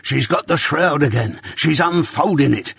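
A man's voice: medium pitch, moderate pace, a bit bright, husky, no echo, clean studio sound. A man voicing a dying, ghost-haunted male convict who raves in frightened rustic dialect. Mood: raving terror. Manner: terrified, raving pleas in rustic dialect.

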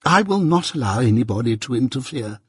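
A man voicing a haughty, indignant gentlewoman mother who speaks imperiously to her household in a storybook reading. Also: medium pitch, lively intonation, brisk, husky, no room sound, studio quality. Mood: haughty affront. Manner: imperious, affronted.

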